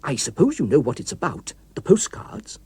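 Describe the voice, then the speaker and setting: A man's voice: a little high, wildly swooping pitch, normal speed, a little mellow, slightly rough, dry recording, exaggerated voice. A man voicing a nervous, polite and fearful writer, anxious to please, in a dramatic audiobook. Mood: eager, nervous. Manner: anxious, hesitant, eager to please.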